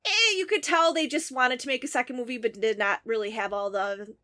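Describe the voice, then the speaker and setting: A woman co-hosting a podcast, chatting casually and gushing excitedly about things she loves. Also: a little high, brisk. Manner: gushing, excitable fan talk. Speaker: podcast co-host. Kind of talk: casual chat.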